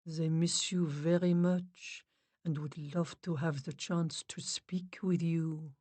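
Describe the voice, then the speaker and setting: A woman voicing a female spirit medium who speaks low, soft and full of sympathy. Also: steady tone, unhurried, close to an everyday voice. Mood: soothing.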